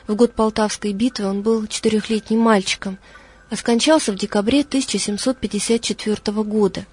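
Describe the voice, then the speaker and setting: Solemn, higher-voiced woman reading church history. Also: darkish tone. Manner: measured, solemn reading addressed to the faithful. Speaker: church speaker. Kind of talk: calm read church history narration.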